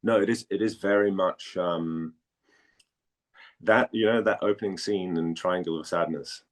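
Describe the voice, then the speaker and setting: Deep-voiced man in casual conversation. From Denmark. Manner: halting, repeats words as he thinks. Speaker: guest, actor and former model. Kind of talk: casual interview chat.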